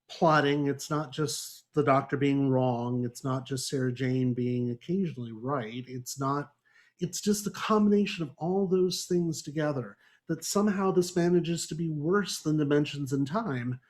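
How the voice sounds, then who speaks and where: A man hosting a book review discussion, speaking in a wry, articulate and emphatic way. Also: on the high side. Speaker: podcast host and moderator; English composition teacher. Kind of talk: book review discussion, partly reading prepared notes.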